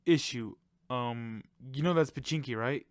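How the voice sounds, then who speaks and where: A man's voice: medium pitch, a little slow, no echo, lightly acted. A man voicing Ed, a young teammate who wisecracks and complains. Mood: joking.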